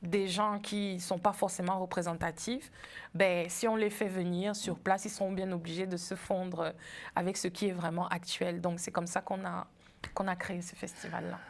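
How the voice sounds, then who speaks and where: A woman from Cameroon, a festival founder in her forties, talking in a friendly, thoughtful way in a TV interview, laughing easily and pausing with fillers. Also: fairly low pitch, little pitch movement, gravelly. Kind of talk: TV interview conversation.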